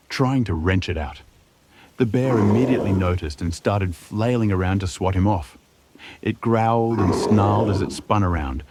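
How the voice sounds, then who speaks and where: Deep, expressive male fantasy narrator. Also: background noise. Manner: dramatic, suspenseful storytelling. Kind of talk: scripted dramatic fantasy narration.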